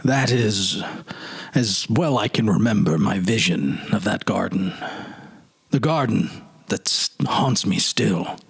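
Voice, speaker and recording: Wistful, haunted gentleman played by a male reader, low husky voice, halting earnest delivery, for literary audio drama. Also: lively intonation, heavily acted. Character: Wallace, haunted wistful man recalling a lost childhood garden.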